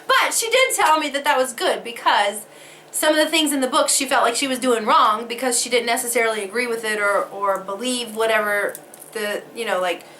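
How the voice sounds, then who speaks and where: A woman's voice: fairly high pitch, bright, forceful tone, a little hiss or hum. A woman talking casually to camera, losing her voice from a head cold, laughing often and swearing casually. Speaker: vlogger.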